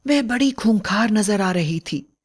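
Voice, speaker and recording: A woman's voice: mid-range voice, expressive, unhurried. A woman storyteller reading a scripted bedtime fairy tale as narrator.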